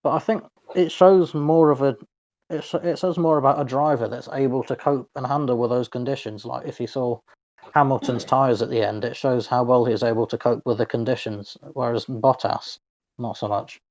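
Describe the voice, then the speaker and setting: A man's voice: medium pitch, fairly even intonation, moderate pace, a little mellow. Hesitant man on a slightly dull recording. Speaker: podcast co-host. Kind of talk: casual sports discussion.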